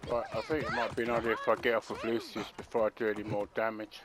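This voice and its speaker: A man's voice: fairly low pitch, little pitch movement, fast talker, a crowd in the background. A man commenting on his video game playthrough, rambling and chatty. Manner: rambling and chatty, many fillers. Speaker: gaming channel host. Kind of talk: let's play commentary.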